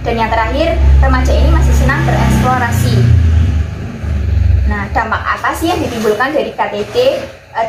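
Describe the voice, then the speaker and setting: Young woman presenting a health talk, fast and a bit high, in an echoey noisy room. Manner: brisk listing, strings points together with "nah" and "terus juga". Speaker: midwifery student presenter. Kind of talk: health education talk to a small group. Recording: echoey room with background noise.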